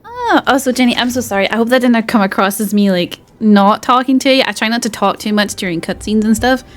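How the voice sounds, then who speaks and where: A woman's voice: a little high, lively intonation, a little fast. A woman game streamer chatting casually and somewhat apologetically while playing. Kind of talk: casual livestream chat while gaming. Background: faint game music.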